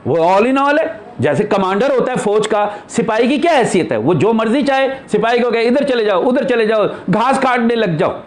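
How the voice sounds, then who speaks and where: Man, high voice, spiritual teacher, informal religious discourse, emphatic explaining, rhetorical questions.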